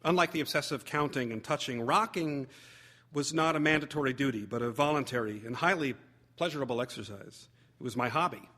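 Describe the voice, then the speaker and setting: A man reading a humorous memoir aloud as theatrical narration, wry and expressive with deliberate phrasing. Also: mid-range voice. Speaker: actor reading a short story.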